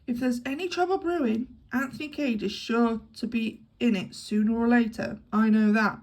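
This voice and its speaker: A woman's voice: fairly high pitch, fairly even intonation, moderate pace, a little mellow. A woman reading an audiobook, voicing a jovial man who teases an old friend in a hearty way. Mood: teasing. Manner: teasing, hearty.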